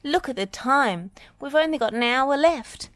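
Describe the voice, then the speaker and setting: A woman as an earnest, decisive female builder, lively. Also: sits high, unhurried, dry recording, pitched above the performer's own voice. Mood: urgent. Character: capable, earnest female builder machine-team leader.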